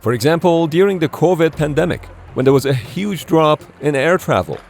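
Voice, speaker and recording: A man reads scripted documentary narration in a voice pitched a little above average. His delivery is measured and explanatory, with light music playing underneath. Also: lively intonation, creaky, a little mellow.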